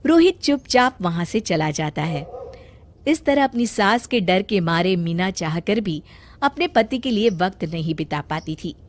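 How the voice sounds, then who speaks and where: A woman's voice: on the low side, expressive, measured pace. A woman reading scripted storybook narration for a cartoon, as the storyteller.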